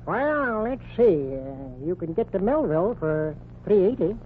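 An actor playing a plainspoken, homespun ticket clerk from a small town in a 1940s radio comedy. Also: male voice, high voice, very animated, a little slow. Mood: folksy, matter-of-fact. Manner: homespun, blunt, laconic. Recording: tinny, noisy 1940s radio recording.

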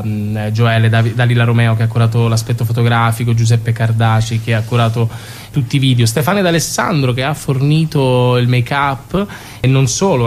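Guy from a theatre group chatting in a radio interview, mid pitch, bit of background noise. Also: darkish tone. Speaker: interview guest from a theatre company.

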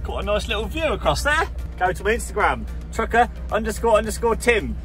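A man, giving excitable running commentary to a dashboard camera from his truck cab. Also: high voice, fairly quick. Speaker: truck driver vlogger. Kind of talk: casual driving vlog commentary. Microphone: camera mounted on dashboard. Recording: loud music over voice.

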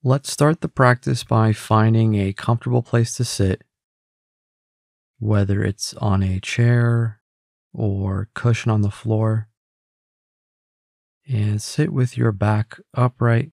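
A man leading a guided meditation in a calm, gentle, unhurried way, giving simple instructions with pauses. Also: fairly low pitch, gravelly, no room sound, studio quality. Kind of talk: guided meditation, scripted narration.

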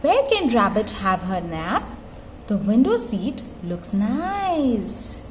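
A woman reading a picture book aloud to a small child in an expressive, singsong, encouraging way, pausing to give simple prompts. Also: mid-range voice. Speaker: mother reading to her toddler. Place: tiled floor in a room at home. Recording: clearly noisy home phone recording.

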